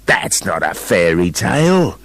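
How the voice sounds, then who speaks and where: A man's voice: low voice, sing-song, unhurried, fairly bright tone, exaggerated voice. An actor playing a sardonic, put-upon male wolf in a comic radio play, with a dry, argumentative, incredulous manner.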